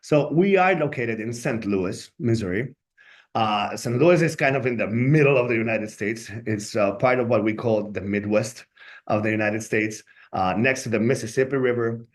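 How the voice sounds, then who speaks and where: A man's voice: mid-range voice, normal speed. A man from Colombia whose first language is Spanish, speaking with a Colombian Spanish accent in a webinar. He sounds earnest and often ends his points with "right?". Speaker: law school staff member. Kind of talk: informational webinar talk.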